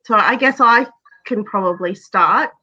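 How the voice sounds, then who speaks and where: A woman's voice: medium pitch, unhurried, pushed and bright, highs cut off. A woman hosting a casual live chat over a video call, asking her guests questions. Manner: chatty, leads with questions. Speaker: host.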